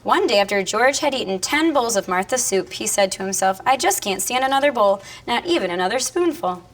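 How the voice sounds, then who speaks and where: A woman's voice: on the high side, lively intonation, fairly quick. A woman who teaches elementary school, explaining reading strategies and reading aloud in an instructional way.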